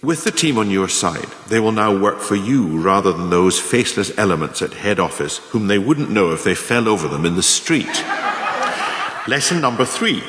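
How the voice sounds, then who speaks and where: Guy acting a ruthless shrewd male boss type giving formal lessons, radio play, lowish voice, big echoey reverb. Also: animated, a bit bright, slight background noise. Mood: coolly instructive. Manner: didactic, measured, formal, emphatic. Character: shrewd, ruthless management mentor, a man lecturing aspiring managers. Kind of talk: scripted radio play monologue, delivered as a lecture in numbered lessons. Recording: echoey room with long reverb.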